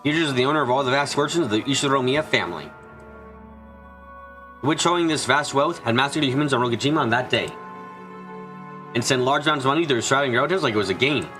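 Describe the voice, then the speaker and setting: Fast, bright-voiced man narrating a story. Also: medium pitch, lively intonation, soft background music. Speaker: fan dubber reading a visual novel aloud. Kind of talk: scripted narration with character dialogue, fan dub.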